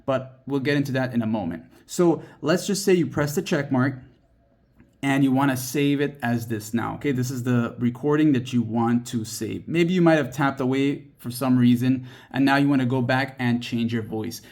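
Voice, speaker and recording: A man hosts a how-to tutorial for a mobile app. He speaks straight to the viewer in an instructional way, at a medium pitch, guiding them through each step. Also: normal speed, a little mellow. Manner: instructional, addresses viewer directly. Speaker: tutorial host.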